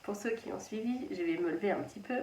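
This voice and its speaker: A woman's voice: mid-range voice, fast, darkish tone, roomy sound, far from the mic, a little hiss or hum. A woman from Anjou in France, hosting a casual knitting podcast from a children's playroom at home, chatty and rambling with many fillers and restarts. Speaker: knitting channel host.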